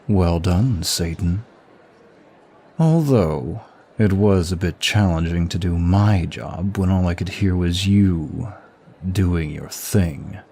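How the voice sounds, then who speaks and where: A man playing a suave, teasing demon prince of lust in an ASMR roleplay, bored and sadistic, with a languid, husky delivery. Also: low voice, slow, warm tone, full character voice. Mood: smug, reminiscing.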